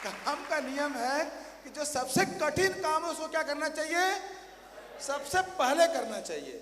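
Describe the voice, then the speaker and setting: A man with a very high voice and a hoarse throat, speaking on a stage microphone to a big crowd. He keeps throwing questions out and repeating them louder. The sound carries a long, roomy echo from the large hall. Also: very animated, measured pace. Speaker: motivational speaker at a company training event. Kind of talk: rousing stage speech to a large audience. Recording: echoey hall, faint ambience.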